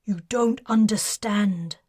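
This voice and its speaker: A woman's voice: mid-range voice, takes it slowly, a little mellow, breathy edge, no echo, studio quality. A woman voicing an earnest, guilt-ridden male army major who talks urgently and pleads to be understood.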